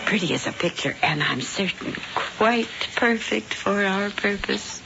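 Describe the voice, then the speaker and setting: A woman's voice: medium pitch, expressive, unhurried, gravelly, loud music behind the voice. An actress playing a scheming middle-aged witch who poses as a welcoming landlady, in a 1970s radio drama. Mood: sugary. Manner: sugary and falsely welcoming. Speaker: Missus Warlock. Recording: dull old radio broadcast with faint hiss.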